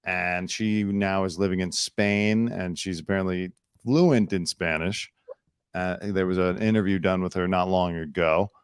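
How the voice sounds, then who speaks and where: Smooth-voiced man hosting a chat show. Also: low-pitched, steady tone, a little slow, dry recording, studio quality. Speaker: host. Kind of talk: casual chat.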